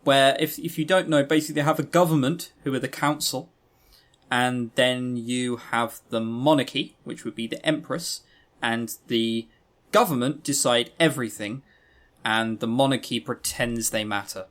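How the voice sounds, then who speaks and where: A man's voice: mid-range voice, moderate pace, a bit bright. A man co-hosting a podcast in casual chat, with a wry, joking, teasing way of talking. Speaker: podcast co-host. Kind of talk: casual chat reviewing visual novels.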